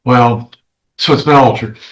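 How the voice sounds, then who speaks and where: A male defense lawyer with a mid-pitched voice addresses the judge in court. He speaks haltingly, doubling back to rephrase his objections. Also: a bit bright, rough recording.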